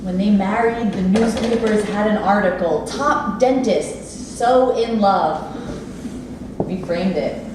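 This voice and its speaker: An actress in a staged play reading, playing a gentle, wistful immigrant housekeeper who speaks earnestly and haltingly. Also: female voice, mid-range voice, natural voice. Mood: fond, confiding. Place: live staged reading in an echoey theater space. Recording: distant, very echoey noisy room recording.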